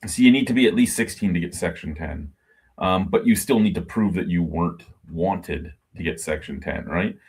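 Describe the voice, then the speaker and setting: A man's voice: sits low, normal speed, darkish tone, husky. A man in his forties chatting casually on a video call, telling long rambling stories and swearing freely. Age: 40s. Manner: rambling anecdotal storytelling with swearing. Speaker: podcast co-host. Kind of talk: casual chat.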